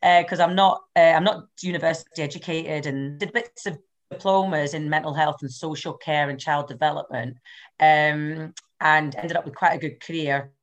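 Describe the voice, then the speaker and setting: A middle-aged woman from the Scottish Highlands with a Scottish accent, talking as a guest in a casual interview, chatty and self-deprecating with many fillers. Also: steady tone, normal speed. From Scottish Highlands and Islands. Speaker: guest, abuse awareness advocate.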